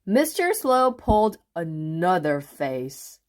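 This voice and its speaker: A woman reading a children's book aloud in an expressive narrating style, with deliberate, dramatic phrasing. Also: on the low side. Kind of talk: expressive storybook read-aloud.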